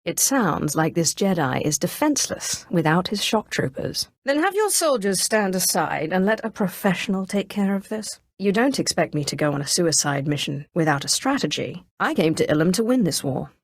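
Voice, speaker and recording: A woman's voice: fairly low pitch, big pitch swings, dry recording, studio quality. A woman voicing a haughty, ruthless Sith sorceress lord in a video game, with imperious, coldly menacing delivery. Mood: brisk, commanding. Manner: imperious, cold, menacing, deliberate diction. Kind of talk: dramatic video game voice acting.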